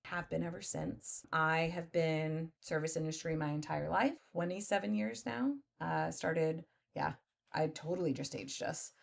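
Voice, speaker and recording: Loud laughing texas-raised woman in her 40s, low voice w some gravel, just chatting on a podcast. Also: measured pace. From Texas, born in Oklahoma. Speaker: podcast co-host. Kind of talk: casual chat.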